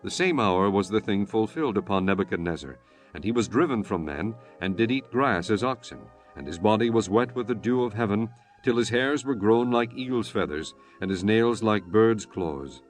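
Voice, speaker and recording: Low-pitched solemn male scripture narrator. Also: expressive, faint music behind. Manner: solemn, formal, measured.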